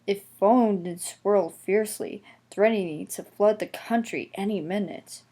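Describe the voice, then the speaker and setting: A woman's voice: medium pitch, a little slow, mellow. A woman reading a scripted children's story aloud as its narrator.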